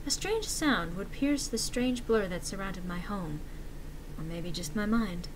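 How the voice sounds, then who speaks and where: Woman dramatically narrating horror, noisy recording. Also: mid-range voice. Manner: theatrical, with deliberate dramatic pauses. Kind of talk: dramatic horror story reading.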